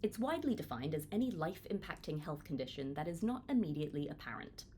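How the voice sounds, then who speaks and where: A woman in her twenties from Hong Kong, reading her own book aloud as an earnest, lively audiobook narrator. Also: fast, a little hiss or hum. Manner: earnest, lively reading with wide pitch swings. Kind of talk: audiobook read-along narration.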